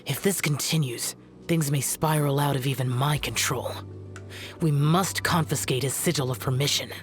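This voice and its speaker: An actor playing an aloof, youthful immortal demon slayer in a video game, speaking in a curt, solemn, formal way. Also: male voice, medium pitch, moderate pace, lightly acted. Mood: stern, disdainful. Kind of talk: video game character acting. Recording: game music behind the voice.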